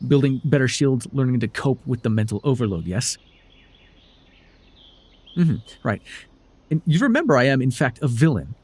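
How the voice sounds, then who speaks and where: A man voicing a proud, sardonic supervillain with a hidden soft spot in an intimate audio roleplay, speaking in a husky, theatrical way. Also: medium pitch, very animated, normal speed, long pauses. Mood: incredulous, sardonic. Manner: theatrical, sardonic, swinging between soft murmurs and emphatic outbursts. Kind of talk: ASMR roleplay voice acting, intimate dramatic monologue to the listener.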